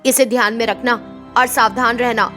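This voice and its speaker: A woman's voice: a little high, fairly even intonation, normal speed, sharp tone, soft background music. A woman voicing a haughty, aloof film actress and mistress of the house, curt and imperious in a story. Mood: stern, warning.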